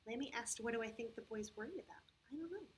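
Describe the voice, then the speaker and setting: A woman hosting a story time for young children, talking in simple, patient, expressive phrases with frequent questions. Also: a little high, fast talker, a little hiss or hum. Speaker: children's church story host.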